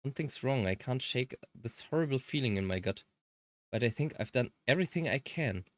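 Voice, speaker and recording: A woman's voice: rumbling low, animated, brisk. A woman voicing an earnest, uneasy protagonist with memory loss in a visual novel, reading in a hesitant, questioning way. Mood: uneasy, wondering.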